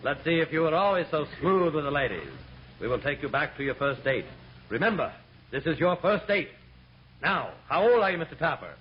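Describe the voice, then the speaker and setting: An actor playing a pompous, gloating hypnotist with a theatrical, commanding delivery in a radio comedy. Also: male voice, on the high side, sing-song, a little fast. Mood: mocking, commanding. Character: pompous, gloating male stage hypnotist, easily outraged. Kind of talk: mid-century radio comedy acting. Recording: dull, noisy old radio recording.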